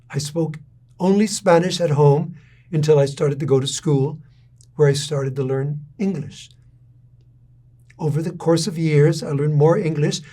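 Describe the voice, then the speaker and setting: A middle-aged man from Texas, giving a lecture in a measured, deliberate storytelling way. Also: on the high side, a little mellow. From San Antonio, Texas. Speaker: university lecturer.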